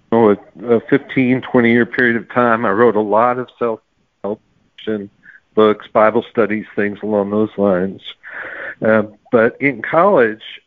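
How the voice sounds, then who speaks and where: A middle-aged or older man, an interview guest, telling his story in an unhurried, explanatory way. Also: mid-range voice, no room sound. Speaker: author guest. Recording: narrow phone-like sound, dry.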